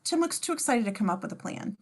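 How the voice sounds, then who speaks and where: A woman's voice: a little high, animated, quick pace. A woman reading a script's scene descriptions at a table read, informal and playful, with casual asides. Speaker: stage-direction reader.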